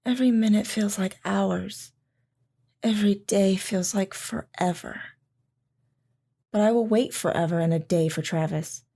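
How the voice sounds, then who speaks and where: A devoted military wife, played by a woman in an audio drama, reminiscing about her husband. Her voice is warm and mellow at an average pitch for a woman. She tells it gently, with wistful tenderness. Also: measured pace, gravelly. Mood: wistful, steadfast.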